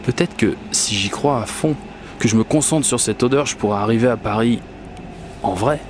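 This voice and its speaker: A man's voice: medium pitch, moderate pace, noisy background, lightly acted. A man playing an obsessive, whimsical dreamer in a first-person radio fiction monologue, speaking in a confiding, colloquial, self-mocking way. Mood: confiding, determined. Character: obsessive, whimsical Parisian man confiding a magical commuting quest. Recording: urban and train ambience behind voice.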